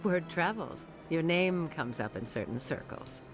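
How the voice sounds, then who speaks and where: Calculating, formal crime schemer. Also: female voice, low voice, animated, a little slow. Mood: calculating, polite. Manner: formal, controlled, wordy. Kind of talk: video game character dialogue. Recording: music behind the voice.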